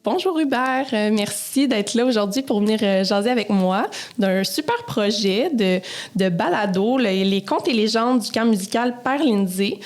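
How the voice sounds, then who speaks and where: A woman's voice: mid-range voice, expressive, normal speed. A woman with a Quebec French accent, hosting a podcast in a casual, conversational interview style.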